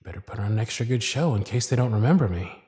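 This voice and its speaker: Smitten magician's inner voice, acted by a man: hushed, fond, confiding, intimate ASMR roleplay monologue. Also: mid-range voice, animated, quick pace, voice pushed down, close to an everyday voice. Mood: smitten, musing. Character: smitten magician's private thoughts, shy and fond.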